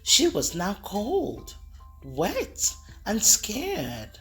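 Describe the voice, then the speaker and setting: Slow, expressive woman reading to kids. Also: medium pitch, soft background music, poor audio. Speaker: children's book reader. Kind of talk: read-aloud storytelling for kids.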